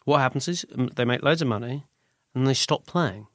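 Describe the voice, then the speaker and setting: A man's voice: mid-range voice, a little fast, fairly bright tone, no echo, studio quality. A British man talking casually as a musician guest in an interview, rambling and correcting himself as he goes. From Britain. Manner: rambling, self-correcting, informal. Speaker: musician guest, singer-songwriter.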